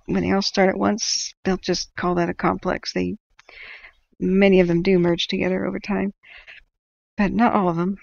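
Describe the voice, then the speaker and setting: A woman's voice: mid-range voice, flat delivery, normal speed, creaky, a little mellow, no room sound, dull audio. A woman with an American accent reading updates informally on a livestream, chattily correcting herself and adding side comments. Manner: chatty, self-correcting, interjects small reactions. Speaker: livestream host tracking wildfires.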